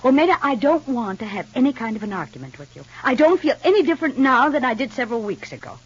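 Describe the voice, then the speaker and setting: A woman's voice: high-pitched, big pitch swings, quick pace, husky, exaggerated voice. An actress in an old radio soap opera playing a resentful, defensive mature single woman with pointed retorts. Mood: cold, defensive. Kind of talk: 1940s-50s radio soap opera acting. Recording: muffled old radio recording.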